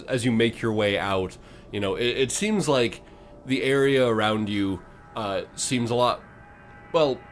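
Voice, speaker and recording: A man improvising tabletop game narration as game master, building scenes in halting fragments. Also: mid-range voice.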